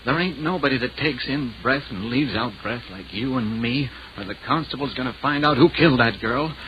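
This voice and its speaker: A man's voice: mid-range voice, moderate pace, natural voice. Folksy, fearful old country man, acted out on muffled radio. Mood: insistent, fearful. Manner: folksy, plain-spoken, uneasy. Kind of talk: old-time radio horror drama acting. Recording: dull, noisy vintage radio recording.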